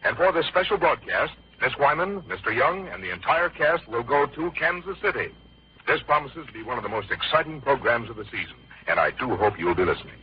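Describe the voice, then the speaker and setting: A man's voice: medium pitch, animated, a little fast. A man announcing in the style of an old-time radio show host. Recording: dull, lo-fi old radio recording.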